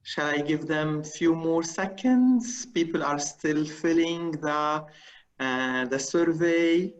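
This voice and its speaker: A man hosting a webinar on a video call who runs an audience poll in a procedural way, pausing with fillers as he reads the results. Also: a little high, low-quality recording. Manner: procedural, pauses with fillers while reading the results. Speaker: webinar host. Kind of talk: moderating a live webinar poll.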